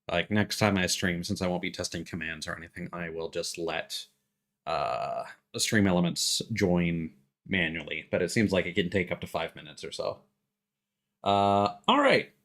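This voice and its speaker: A man talking casually as a live streamer, halting and hesitant as he thinks aloud. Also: deep voice. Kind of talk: casual livestream chat.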